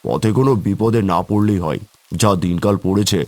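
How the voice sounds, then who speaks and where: A man's voice: lowish voice, a little fast, darkish tone, no room sound, natural voice. A man voicing an anxious, scolding villager in a cartoon, fretful, folksy and full of questions. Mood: worried, chiding. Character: anxious, scolding village man among tea-stall regulars. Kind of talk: cartoon character acting.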